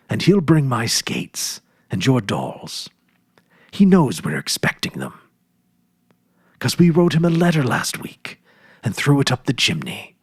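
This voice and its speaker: Man acting as ten-year-old farm boy, boastful, eager, emphatic, swooping pitch, dry storybook recording. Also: husky, dry recording, full character voice. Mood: reassuring, excited. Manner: emphatic, earnest, know-it-all.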